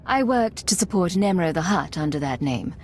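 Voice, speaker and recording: Lowish lady as controlled commanding secret agent, game cutscene, slight ambience in back. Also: expressive, normal speed, a little mellow, slight background noise, natural voice. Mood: firm. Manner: controlled, authoritative. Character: cool, commanding female Imperial secret agent. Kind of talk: video game acting.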